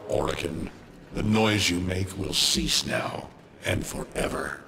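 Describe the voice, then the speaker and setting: An actor voicing an ancient, vengeful alien being who speaks in slow, ominous pronouncements. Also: male voice, low voice, steady tone, bright, forceful tone, a little hiss or hum, full character voice. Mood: menacing. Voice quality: gravelly. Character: ancient menacing sentient being, male, huge, vengeful.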